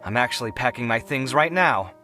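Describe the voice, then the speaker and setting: An actor playing a proud, easily offended young scholar in an anime game, theatrical and exasperated in manner. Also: male voice, medium pitch, brisk, faint music behind. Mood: indignant. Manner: theatrical, indignant, expressive. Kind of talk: anime video game voice acting.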